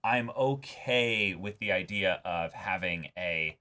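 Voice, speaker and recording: A man talks through his opinions in casual commentary. His voice is on the low side and has a bright, forceful edge. He is animated and likes to slip into imagined dialogue as he explains. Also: takes it slowly. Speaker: co-host of a reaction show.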